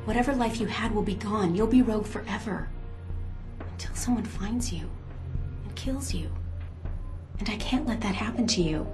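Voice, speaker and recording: Loyal insider ally, played by a woman: young agent, restrained but worried, mid-pitched, game drama over music. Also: normal speed, long pauses, lightly acted. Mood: grave, worried. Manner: earnest, emotionally restrained. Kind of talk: video game cutscene acting. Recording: loud music underneath.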